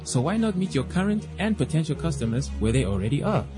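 A man's voice: fairly high pitch, big pitch swings, fast. A man reading an upbeat radio advertisement. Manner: upbeat announcer read. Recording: voice over loud music bed.

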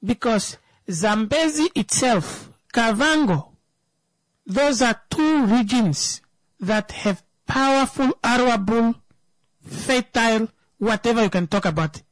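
A woman's voice: fairly high pitch, slow, no room sound, low-quality recording. A woman, giving an emphatic opinion talk that turns into a personal story, repeating words for stress.

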